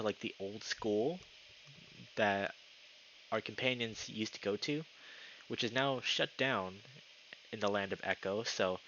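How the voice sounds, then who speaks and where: A man's voice: medium pitch, bright, forceful tone, dull audio, slight background noise. A man live streaming a game, chatting casually and reading the story text aloud, sometimes tripping over words. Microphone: streaming mic with noise filtering.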